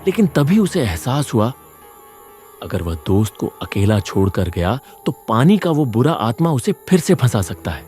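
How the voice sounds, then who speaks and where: A man's voice: medium pitch, big pitch swings, moderate pace, a little mellow, soft background music. A man reading a scripted horror story as a dramatic narrator.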